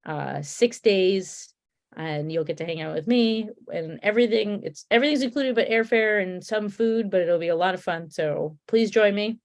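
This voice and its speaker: A woman's voice: mid-range voice, normal speed. A woman with a Connecticut accent, a guest chatting casually and rambling with restarts. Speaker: podcast guest, beer comic artist. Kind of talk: casual chat.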